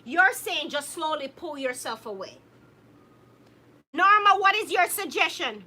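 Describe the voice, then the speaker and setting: A young woman from Jamaica, co-hosting a casual live chat and reading viewer comments aloud. She laughs often and talks in an animated way. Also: high voice, measured pace.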